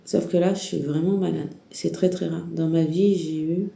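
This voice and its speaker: A woman with a stuffy head cold and a sore throat talks through a tarot reading in a low-energy, dark, gravelly voice that barely rises or falls. She restarts her phrases and hunts for words as she speaks directly to the listener. The voice bounces around an echoey room. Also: steady tone, normal speed. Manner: hesitant, rambling, many restarts and fillers. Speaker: tarot reader. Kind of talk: spiritual guidance monologue to the viewer.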